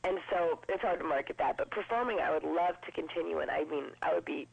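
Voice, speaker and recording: A woman in her twenties with a low voice, chatting upbeat as a guest in an interview. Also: vocal fry, husky, dry recording. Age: 20s. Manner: chatty and upbeat, quick with jokes. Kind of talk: casual interview. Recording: dull lo-fi broadcast sound.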